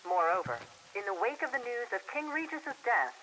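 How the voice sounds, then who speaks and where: A woman's voice: fairly high pitch, big pitch swings, normal speed, sharp tone, poor audio. An actress playing a composed female news announcer reading a grave, formal bulletin over the radio in a game. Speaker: news reader. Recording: hissy radio broadcast with static.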